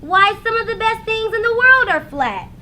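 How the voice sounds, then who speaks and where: An encouraging picture-book mother, voiced by a woman reading aloud, cheerful in temper. She speaks high and brightly, with sweeping intonation. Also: lively intonation, normal speed, a little hiss or hum, full character voice. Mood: reassuring.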